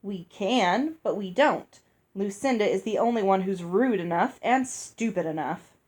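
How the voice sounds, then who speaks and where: A woman's voice: mid-range voice, animated, measured pace, darkish tone. Woman voicing a blunt old fairy cook. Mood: brusque. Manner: brusque, scolding. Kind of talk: read-aloud storybook dialogue.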